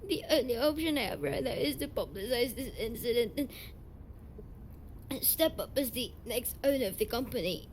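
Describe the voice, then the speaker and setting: Casting: young tsundere delinquent heiress played by a woman, emotional and halting, intimate audio drama monologue, audible noise behind. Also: high-pitched, creaky. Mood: tearful, burdened. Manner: emotional, halting, swears freely.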